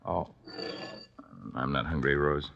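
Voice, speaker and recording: An actor playing a desperate, hot-tempered young fencing champion in a 1950s radio drama, halting and tense. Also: male voice, a bass voice, gravelly, fairly bright tone, highs cut off, exaggerated voice. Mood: weary, evasive.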